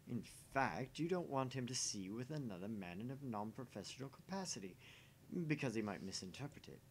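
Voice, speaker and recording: A man's voice: lively intonation, brisk, lightly acted. A man voicing a smug, jaded husband in a visual novel, mocking and condescending as he teases. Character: smug, sneering, jaded married man teasing his dinner date. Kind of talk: voice-acting visual novel dialogue. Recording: faint background rumble and noise.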